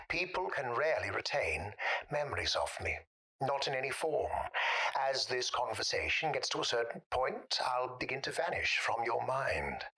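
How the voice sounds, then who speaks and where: A male actor plays an eerie, forgotten gentleman in an audio drama. His low voice is husky yet has a bright, pointed edge. He chooses his words formally and deliberately, polite on the surface and menacing underneath. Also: full character voice, low-quality recording. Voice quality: husky, breathy. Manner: courteous, deliberate, formally worded. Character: polite, sinister man nobody can remember.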